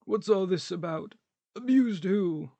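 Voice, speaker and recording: Man acting a high-pitched, irritable tramp. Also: expressive, a little slow, warm tone, no echo, full character voice. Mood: contemptuous. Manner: terse, grumbling, questioning. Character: irritable, contrary, world-weary tramp, a ragged former poet. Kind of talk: radio play dialogue.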